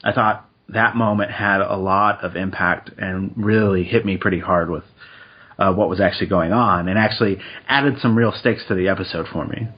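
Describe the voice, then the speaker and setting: A man with a low, slightly husky voice co-hosts a podcast. He talks in a measured, reflective way and often hedges. The audio is dull and lo-fi. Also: steady tone. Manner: measured, reflective, hedging with uh and I think. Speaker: podcast co-host. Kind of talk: casual chat.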